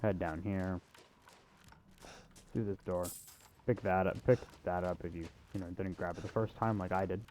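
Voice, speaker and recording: A man's voice: low-pitched, fairly even intonation, normal speed, long pauses, a little mellow, slight background noise. A man giving a casual, unscripted video game walkthrough in an offhand, self-deprecating way. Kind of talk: casual unscripted gameplay commentary.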